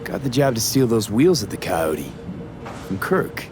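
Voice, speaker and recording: A man's voice: on the low side, very animated, a little slow, noisy background. A male actor playing a guarded young mercenary in a cyberpunk game, American, speaking in clipped, streetwise, matter-of-fact phrases. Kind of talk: video game voice acting.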